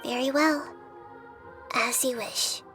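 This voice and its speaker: A woman voicing a gentle, wise young goddess in a video game, speaking in a calm, measured, philosophical way. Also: high-pitched, over loud music. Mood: composed, caring. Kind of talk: dramatic English video game voice acting.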